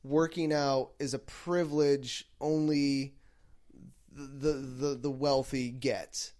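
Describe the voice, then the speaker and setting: A man's voice: mid-range voice, flat delivery, takes it slowly, a little mellow. A man co-hosting a comedy podcast in casual comic banter, repeating phrases for emphasis.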